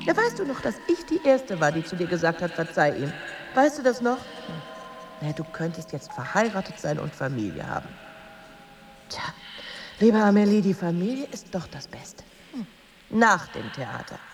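Casting: smug, chatty married woman, lively swooping delivery, gushing and needling, radio drama with music behind. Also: lowish voice. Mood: condescending chattiness. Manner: gushing, condescending, breaking into giggles. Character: chatty, smug married actress needling her lonely rival. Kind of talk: German radio drama acting.

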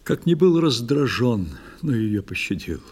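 A man narrating a fairy tale in expressive, theatrical verse for an audio play for children. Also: medium pitch, a little slow, husky. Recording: studio narration over soft music.

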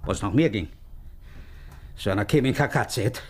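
An actor playing a harsh, indignant village zealot in a radio drama. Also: male voice, lowish voice, expressive, normal speed, slightly rough. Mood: indignant, bitter. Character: bitter male village zealot, loyal to the regime. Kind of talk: German-language radio drama acting.

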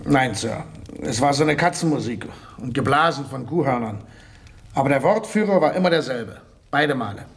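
A man's voice: medium pitch, expressive, normal speed, natural voice. A man playing a talkative, respectful witness reporting to his superiors in a German radio play. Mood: eager, respectful.

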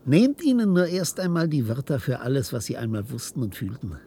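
An actor playing an obsessive, cold scientist in a radio drama, lecturing with intensity and secretive command. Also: male voice, medium pitch, big pitch swings, a little fast, warm tone, husky. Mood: intense, triumphant.

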